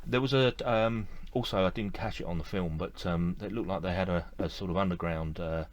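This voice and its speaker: A middle-aged man with a deep, low voice talks straight to the camera from an indoor room lined with display shelves. He rambles in an easygoing, enthusiastic way, full of fillers and repeated words. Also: a little fast. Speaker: model railway collector vlogger. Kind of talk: casual to-camera review.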